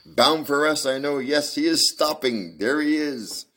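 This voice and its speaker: A man doing a brisk amused detective, pitched up. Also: a bit bright. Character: brisk sharp-witted male detective, amused.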